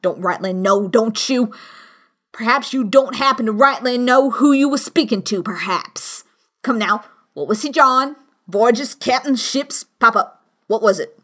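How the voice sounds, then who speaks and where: A woman's voice: a little high, lively intonation, slow pace, sharp tone, heavily acted. A woman voicing a hearty, commanding male tavern landlord, an old seafarer with blustering, salty talk, in a storybook reading. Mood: stern, scolding.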